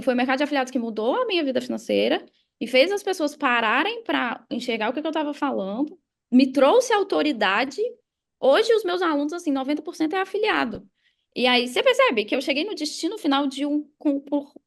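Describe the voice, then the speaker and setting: High-voiced Brazilian woman with creaky edges. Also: lively intonation, a little fast. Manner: emphatic, repeats key phrases, uses examples and acted quotes. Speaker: podcast guest, affiliate marketer and mindset coach. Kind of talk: casual motivational explaining on a podcast.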